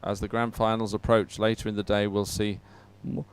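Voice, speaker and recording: A man's voice: lowish voice, level tone, moderate pace, smooth. A man presenting live coverage of a sporting event to viewers, talking as a broadcast host.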